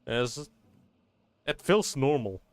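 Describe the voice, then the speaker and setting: A man streaming a game with casual live reactions, halting as he thinks aloud. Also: medium pitch, sing-song. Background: faint game music.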